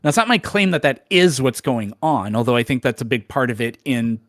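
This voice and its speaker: A man's voice: mid-range voice, lively intonation, a little fast, a bit bright, no room sound, clean studio sound. A man who debates, answering callers in a casual call-in discussion with loose, filler-heavy reasoning.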